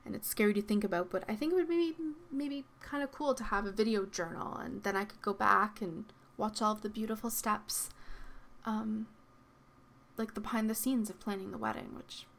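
A young woman hosting her own podcast, talking in a soft, reflective way with frequent ums and likes, her voice slightly worn. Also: medium pitch, moderate pace. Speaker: podcast host. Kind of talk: solo podcast monologue. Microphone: podcast microphone.

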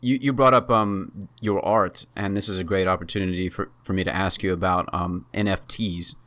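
A male podcast co-host with a lowish voice and a close, dry sound. He asks questions conversationally and a little hesitantly. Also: fairly even intonation, normal speed, bright, forceful tone, no echo. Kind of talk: conversational interview.

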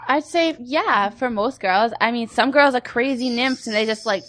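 A woman's voice: on the high side, moderate pace, a bit bright, a little hiss or hum. A woman in her twenties, a guest in a casual interview, talking bluntly and crudely with frequent laughs. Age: 20s. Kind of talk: candid casual interview chat.

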